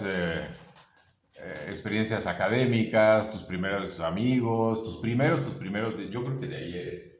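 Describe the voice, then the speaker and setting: A man's voice: fairly low pitch, a little hiss or hum. A man from Veracruz with a Mexican accent chatting casually with an old friend, rambling and often searching for his words. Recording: roomy echo, dull lo-fi sound.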